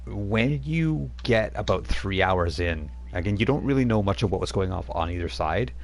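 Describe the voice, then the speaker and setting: A man's voice: on the low side, lively intonation, normal speed, gravelly. A man narrating a tabletop game as game master, rambling with many fillers and restarts.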